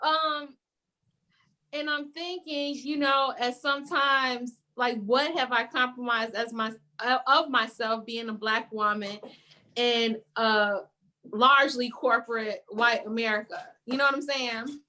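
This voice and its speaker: A woman in her thirties from Chicago's west side with a Chicago accent, sharing her story reflectively on a video call panel, with many "um" pauses. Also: fairly high pitch, steady tone, bright, forceful tone.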